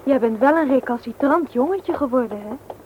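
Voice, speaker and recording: A woman's voice: high-pitched, lively intonation, normal speed, phone-quality sound, background noise. An actress playing a teasing, condescending young woman in a radio drama, with a mocking, haughty manner. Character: sharp, teasing young dental assistant, condescending.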